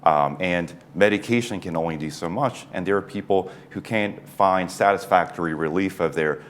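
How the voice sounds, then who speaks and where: A man in his forties, a neurosurgeon being interviewed, who speaks in a measured, thoughtful way with small restarts. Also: low voice, a little hiss or hum. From South Korea. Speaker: neurosurgeon guest. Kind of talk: interview answers.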